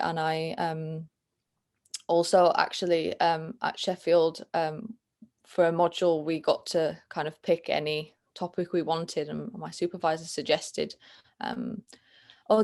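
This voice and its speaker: A young woman in her twenties with a Yorkshire accent, talking thoughtfully as a guest over a video call, often pausing with ums and restarting. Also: sits low, creaky. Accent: Northern English, Yorkshire. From England. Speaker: guest, planetary science student. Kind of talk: casual interview chat.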